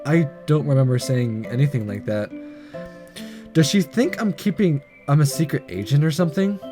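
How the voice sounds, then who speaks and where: A man hosting a video, reading a visual novel aloud in an expressive narrating style. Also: a little high, normal speed, soft background music.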